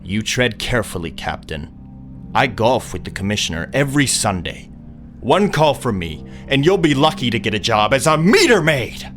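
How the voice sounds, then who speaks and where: A man playing an arrogant, defensive wealthy landlord in an audio drama, quick to take offence. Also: mid-range voice, very animated, fairly bright tone, faint music behind. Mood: defiant, threatening. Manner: haughty, defensive, self-justifying. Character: arrogant, indignant male wealthy businessman, slippery landlord.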